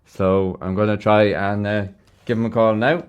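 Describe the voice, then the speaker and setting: A man's voice: fairly low pitch, fairly even intonation, normal speed, clean tone, lo-fi sound. A man with an Irish accent hosting a casual live interview show in a radio studio. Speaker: radio host.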